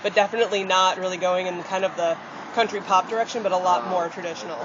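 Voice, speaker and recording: A woman from rural Michigan, a country singer, answering interview questions in a chatty, open way with a somewhat deep voice. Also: steady tone, fast talker. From rural Michigan, USA. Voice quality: deeper voice. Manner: chatty and open, with frequent fillers and light laughs. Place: outdoors on a city street. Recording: dull outdoor audio with loud traffic.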